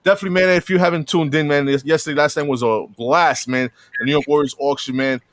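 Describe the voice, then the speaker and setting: A man with a slightly hoarse voice hosting a live podcast in casual, slangy talk, often tagging sentences with "man.". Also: a little high, steady tone, fast talker, no room sound. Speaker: podcast host.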